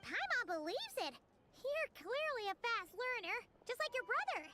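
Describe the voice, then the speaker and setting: A woman's voice: extremely high pitch, very animated, moderate pace. A woman voicing a tiny cheerful girl fairy companion in a video game, chirpy and eager. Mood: bubbly, curious. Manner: speaks of self in third person. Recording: music behind the voice.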